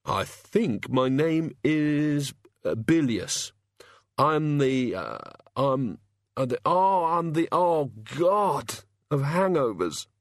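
A man voicing Bilious, a queasy, pitiful male god of hangovers who groans and mumbles haltingly. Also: on the high side, sing-song, no echo, studio quality, voice pushed up, exaggerated voice. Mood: groaning.

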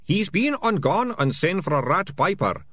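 A man's voice: high-pitched, very animated, moderate pace, a bit bright, pitched above the performer's own voice, heavily acted. A man reading aloud, voicing a cheerful, gleeful male rat catcher sidekick. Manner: gleeful, eager.

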